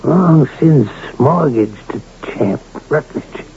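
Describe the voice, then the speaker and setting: An actor playing an ailing, gruff, luckless gambler rancher in a radio drama, blunt and folksy. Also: male voice, a little high, lively intonation, slow pace. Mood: bitter, resigned. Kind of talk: 1980 radio drama acting. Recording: dull, noisy vintage radio broadcast.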